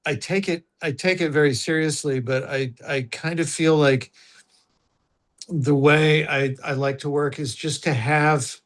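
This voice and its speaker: A man's voice: a little high, fairly even intonation, measured pace. A man, an actor, reflecting on his working method in an interview and searching for the right words as he goes. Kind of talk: interview answers.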